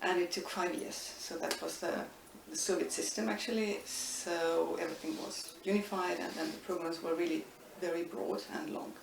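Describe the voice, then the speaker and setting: A woman's voice: lowish voice, steady tone, moderate pace, creaky, hardly any pauses. Woman, roomy and distant. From Estonia. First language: Estonian. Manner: thoughtful, frequent hesitations and restarts. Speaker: interviewee, linguistics professor. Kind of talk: reflective interview answers. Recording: echoey room, distant mic, faint noise.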